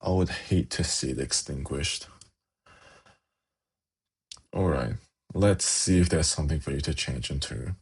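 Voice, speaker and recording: A man playing a suave, teasing, gently menacing demon prince in an intimate ASMR fantasy roleplay, with a slow, coaxing delivery. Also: heavily acted. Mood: tender, menacing. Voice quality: very low, gravelly, husky.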